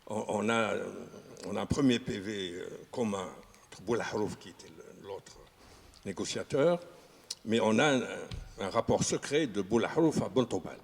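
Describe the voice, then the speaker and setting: Husky-voiced man recalling history haltingly. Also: fairly low pitch. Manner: halting, many fillers and restarts. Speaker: historian guest. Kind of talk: unscripted expert discussion. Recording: reverberant room.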